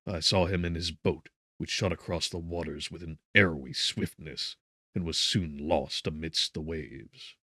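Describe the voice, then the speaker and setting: A man narrating a gothic novel as an audiobook in a solemn, measured, theatrical manner. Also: rumbling low, fairly bright tone, no room sound, studio quality.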